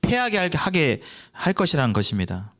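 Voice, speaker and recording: A man's voice: huge pitch swings, fairly quick, a bit bright. A young man preaching an evangelistic sermon. He keeps asking the congregation questions and answers them himself. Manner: acts out children's voices in a brief high voice.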